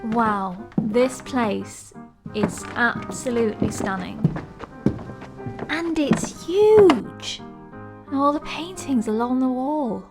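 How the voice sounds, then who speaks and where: A woman playing an easily impressed, gently teasing house guest in an audio drama, speaking in a light, conversational way. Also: on the high side, animated, slow. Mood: awed. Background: music.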